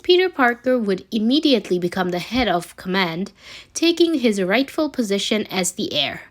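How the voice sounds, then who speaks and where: A woman reading a scripted story aloud as an amateur narrator, expressive but a little halting, with occasional stumbles. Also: mid-range voice. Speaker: amateur fan fiction reader.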